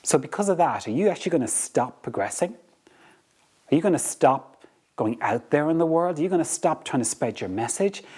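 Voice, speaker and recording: An Irish man speaks in a steady middle register, delivering a motivational talk on leadership. He is earnest and repeats words as he thinks aloud, often pausing to check in with the listener. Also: medium pitch, husky. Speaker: podcast host.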